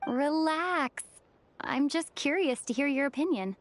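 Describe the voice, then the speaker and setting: A woman voicing a calm, curious young companion in a fantasy video game, with a gentle, inquisitive manner. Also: sits high, measured pace. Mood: calm curiosity. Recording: soft music under the voice.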